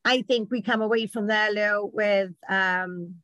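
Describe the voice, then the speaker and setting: A British woman with a loud voice, a campaign organiser telling stories in casual chat. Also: mid-range voice, fairly even intonation, a little slow, no echo. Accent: British English. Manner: loud, chatty storytelling.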